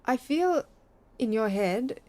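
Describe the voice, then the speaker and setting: A hurt, anxious woman clings to her partner in a naturalistic audio drama. Her speech is conversational and halting, always gently probing for an answer. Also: on the high side, expressive. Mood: upset, accusing.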